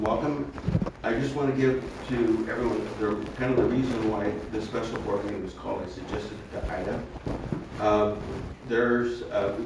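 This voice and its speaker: Man chairing a meeting, very echoey. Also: fairly low pitch. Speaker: board president chairing a meeting. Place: echoey indoor meeting room. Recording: very echoey, distant, loud noise.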